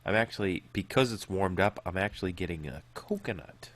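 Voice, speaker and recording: A man's voice: low-pitched, a little fast. A man co-hosting a beer tasting podcast, joking around and laughing in a loose, casual way. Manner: joking, animated, laughs often. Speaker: podcast co-host.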